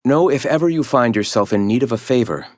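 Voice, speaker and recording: An actor playing a zealous philosopher who leads an anti-corporate rebel sect in a video game, speaking in lofty, measured, formal oratory. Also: male voice, mid-range voice, expressive, darkish tone, dry recording, natural voice. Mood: resolute, rallying. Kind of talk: video game character dialogue.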